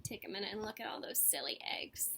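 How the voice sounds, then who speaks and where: A woman's voice: medium pitch, expressive, brisk, vocal fry, bright, forceful tone, a little hiss or hum. A woman reading a picture book aloud for children, speaking directly and encouragingly to her young listeners. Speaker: story time reader for children.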